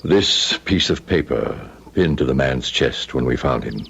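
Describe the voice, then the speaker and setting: Pious monastery superior, very low voice, solemn, formal, muffled old radio recording. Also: male voice, expressive, a little slow, gravelly, fairly bright tone, full character voice. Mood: grave, courteous. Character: grave, pious male Father Superior of a monastery. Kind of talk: old-time radio drama acting. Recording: dull, hissy vintage radio recording.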